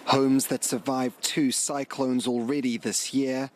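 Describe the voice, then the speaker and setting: A man's voice: mid-range voice, level tone, takes it slowly, a little hiss or hum. A man with a New Zealand accent reporting for television news from out in the field. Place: outdoors on location by damaged roads.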